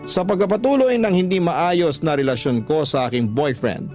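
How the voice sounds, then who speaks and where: A man's voice: fairly high pitch, moderate pace, a little mellow. Male storyteller narrating over music. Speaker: radio drama storyteller. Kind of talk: scripted first-person dramatic narration. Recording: music under the voice.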